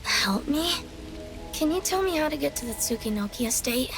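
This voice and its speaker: A woman's voice: high-pitched, expressive, normal speed, over music. A woman voicing a lost, timid, forgetful little child in an anime-style game, with hesitant, simple phrasing. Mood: lost, uncertain.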